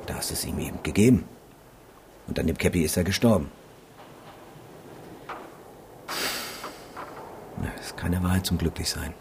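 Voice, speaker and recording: An actor playing a cynical, bleak photographer and disaster witness in a radio drama, sardonic and matter-of-fact. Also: male voice, low-pitched, fast talker, noisy background. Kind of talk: radio drama monologue and dialogue.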